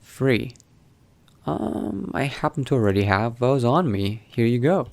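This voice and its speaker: A man narrating his role-play gameplay in character, musing to himself in an unhurried, gravelly voice. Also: mid-range voice, very animated, a little mellow. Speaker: gaming video host, role-playing player.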